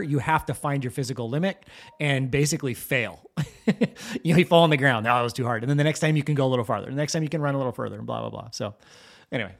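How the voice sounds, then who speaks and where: A man's voice: medium pitch, gravelly. A man hosting a school podcast, talking in an earnest, motivational and somewhat rambling way. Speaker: podcast host, school administrator. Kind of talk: casual interview talk.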